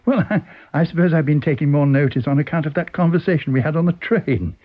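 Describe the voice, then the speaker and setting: A man's voice: a little high, fast, narrow, tinny audio, pitched above the performer's own voice, close to an everyday voice. A man voicing a reserved, politely wary bachelor with a hesitant, stammering manner in a dramatised story reading. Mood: probing, nervous.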